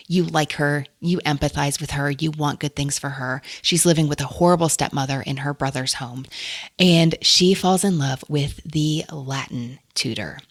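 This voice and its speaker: A woman's voice: low voice, dry recording, studio quality. A woman hosting a book podcast, talking casually and enthusiastically about books and laughing often. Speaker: podcast host.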